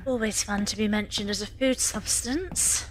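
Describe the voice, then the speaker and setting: A woman's voice: mid-range voice, moderate pace, a little hiss or hum, lightly acted, low-quality recording. A woman playing a spirited, questioning female adventurer in an amateur audio drama. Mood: teasing. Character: spirited, questioning female companion to a time traveller.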